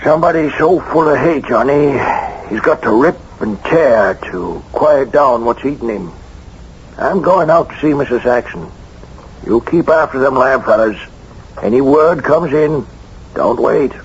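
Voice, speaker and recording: An actor playing a shrewd, steady American small-town sheriff in a radio mystery, folksy and deliberate. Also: male voice, mid-range voice. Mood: grimly businesslike. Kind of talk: radio mystery drama acting. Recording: dull, noisy old radio broadcast.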